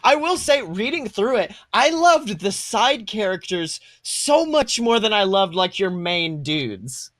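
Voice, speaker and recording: A young man in his twenties with a fairly high voice, recorded dry and close. He talks casually as a podcast co-host, swearing freely and telling stories with irreverent emphasis. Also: very animated, takes it slowly, hardly any pauses, a bit bright, no room sound. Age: 20s. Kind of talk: casual chat, animated storytelling.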